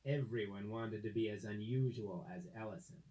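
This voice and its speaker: A woman reading a children's story aloud expressively, with dramatic, drawn-out phrasing. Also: extremely low pitch, mellow, poor audio.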